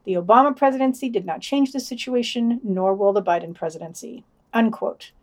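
A woman's voice: a little high, brisk, darkish tone. A woman co-hosting a podcast, reading out a news digest with dry, wry asides. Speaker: podcast co-host.

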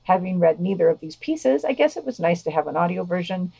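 A woman's voice: lowish voice, sing-song, fairly quick, gravelly, dark tone, husky, no room sound. A woman co-hosting a podcast, reading scripted news and quotations with dry, understated commentary.

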